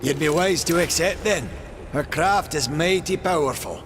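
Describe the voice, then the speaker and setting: An actor playing an eager, good-natured young maker in a fantasy video game, with a hearty, folksy manner. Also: male voice, a little high, moderate pace, noisy background, natural voice. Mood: friendly. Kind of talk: video game cutscene acting.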